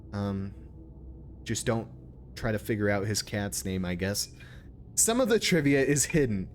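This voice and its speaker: A man giving casual live commentary as a game streamer, informal and joking, sometimes reading on-screen text aloud. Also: mid-range voice.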